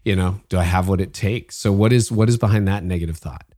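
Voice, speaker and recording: A man's voice: low voice, fast talker, warm tone, dry recording, clean studio sound. A man hosting a podcast interview, asking curious, conversational follow-up questions. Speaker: podcast host.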